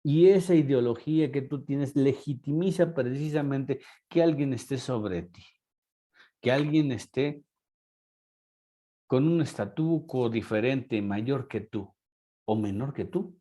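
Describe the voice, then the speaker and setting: A man's voice: mid-range voice, slow, warm tone. A man with a Mexican accent, lecturing a class and asking rhetorical questions. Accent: Mexican Spanish. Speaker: teacher.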